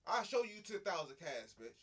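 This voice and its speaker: Animated high-voiced young man. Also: moderate pace, husky. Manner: animated, profane, breaks into song. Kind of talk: casual chat.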